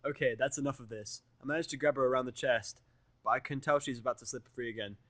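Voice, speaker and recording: A man's voice: medium pitch, fairly even intonation, quick pace, fairly bright tone, no room sound. A man reading a first-person story aloud as narrator, with an informal, wry delivery. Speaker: fan fiction reader.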